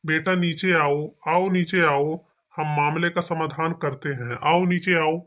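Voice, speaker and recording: A man's voice: on the high side, fairly even intonation, unhurried, fairly bright tone, muffled recording. A man acting a bossy village police officer in a cartoon comedy, commanding. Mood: coaxing, urgent. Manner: authoritative, commanding.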